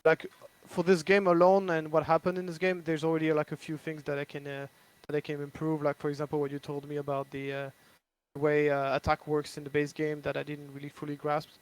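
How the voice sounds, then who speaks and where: A man's voice: on the high side, level tone, fairly quick, smooth, rough recording. A man with a French accent, chatting casually about a board game he designed, hesitant with many restarts. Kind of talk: casual chat.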